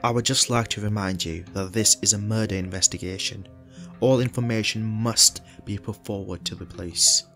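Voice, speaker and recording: A man's voice: on the low side, fairly even intonation, moderate pace, fairly bright tone, faint music behind. A man acting as an earnest, inexperienced junior detective in an audio drama, young and formal. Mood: official. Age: young adult. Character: earnest junior male police detective, official, green.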